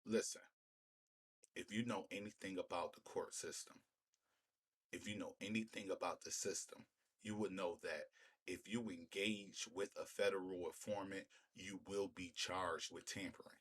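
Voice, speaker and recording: A man's voice: low-pitched, fairly even intonation, moderate pace, slightly rough. A man giving opinionated street-talk commentary in an emphatic, repetitive way, often using rhetorical questions. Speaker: commentator hosting a reaction video.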